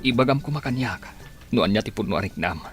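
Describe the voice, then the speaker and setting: A man's voice: fairly low pitch, expressive, fast talker, music in the background, lightly acted. An actor with a Filipino accent playing a lovesick, heartbroken young man in a radio drama. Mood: heartbroken, pleading.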